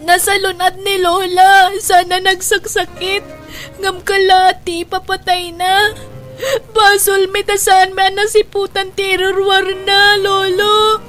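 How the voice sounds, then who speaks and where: Radio drama part: actress as a tender, plaintive young daughter, extremely high voice, music underneath. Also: female voice, monotone, takes it slowly, fairly bright tone, full character voice. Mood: sad. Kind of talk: Ilocano radio drama acting. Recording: music behind.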